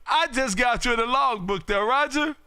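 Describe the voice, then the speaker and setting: A man chatting and calling as an amateur radio operator on his live stream, loud, folksy and exuberant. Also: extremely high pitch, moderate pace, clean tone, dry recording, studio quality. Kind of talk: casual radio chat and calling. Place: home radio room.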